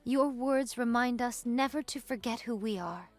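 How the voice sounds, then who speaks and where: Actress as a stoic swordswoman, fairly high voice. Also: moderate pace, faint music behind, lightly acted. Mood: composed. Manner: formal, archaic wording, composed. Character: composed archaic-speaking female swordswoman, stoic and dignified. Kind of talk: video game voice acting.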